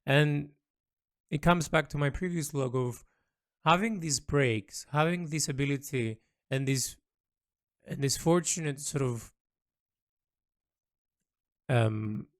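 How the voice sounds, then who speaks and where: A man speaking an unscripted, reflective audio log as an illustrator and storyteller, halting often to search for words and repeat himself. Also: fairly high pitch.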